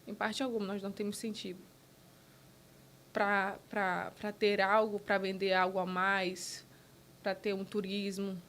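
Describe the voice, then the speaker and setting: A woman's voice: medium pitch, little pitch movement, unhurried, fairly bright tone, slight background noise. A woman, a business owner speaking in an interview in an earnest, emphatic way, often restarting phrases. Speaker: podcast guest, business owner. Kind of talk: conversational interview.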